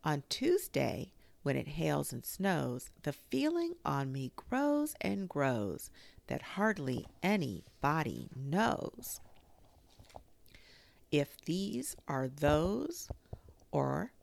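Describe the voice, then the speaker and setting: Woman as a slow-witted boy bear, storybook, amiable, earnest, unhurried, lively melody. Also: low-pitched, creaky, no room sound, full character voice. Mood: reciting. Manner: simple, earnest, hesitant.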